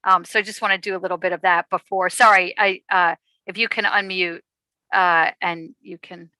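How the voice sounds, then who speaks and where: A woman rabbi informally hosting an online session on a video call, introducing a guest in a halting, conversational way. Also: lowish voice, fairly even intonation, bright, forceful tone, slight background noise, rough recording. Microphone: video call microphone.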